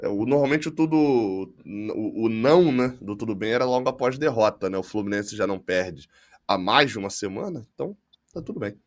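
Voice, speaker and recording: A man's voice: lowish voice, animated, a little fast. A man, a podcast commentator with wry, teasing humor in casual chat.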